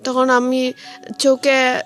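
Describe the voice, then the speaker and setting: Female interview guest, Bangladeshi Bengali accent, high voice, halting colloquial storytelling, faint music underneath. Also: completely flat delivery, a bit bright. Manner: colloquial, halting, repeats phrases.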